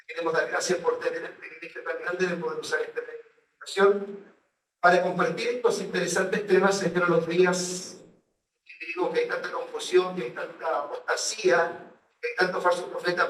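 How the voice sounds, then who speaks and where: Man from chile, guest evangelist, solemn measured prayer voice, higher pitch, echoey room, far from mic. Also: little pitch movement, fairly bright tone, slight background noise. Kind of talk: radio guest talk and spoken prayer. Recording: roomy echo, voice distant from mic.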